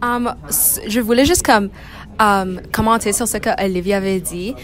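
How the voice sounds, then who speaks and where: A woman's voice: medium pitch, normal speed, a bit bright. A teenage girl with a slight Spanish accent, a student speaking tentatively in French during a class round-table discussion. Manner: tentative, with "um" and "comme".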